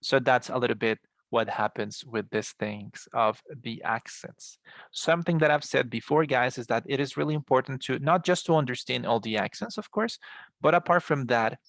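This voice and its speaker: A low-voiced man with a Colombian accent teaches an English class in a conversational, explanatory way. His voice has a noticeable creak, and the sound is dry and close. Spanish is his native language. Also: moderate pace, a bit bright, no room sound. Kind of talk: explanatory online class lecture.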